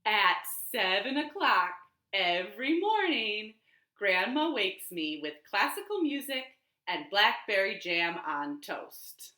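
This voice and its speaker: Animated woman leading children's storytime. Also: mid-range voice. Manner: animated, slow and clearly paced for young children. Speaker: children's storytime host at a library. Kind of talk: children's storytime with rhymes and picture-book reading. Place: living room at home. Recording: roomy, echoing home living room.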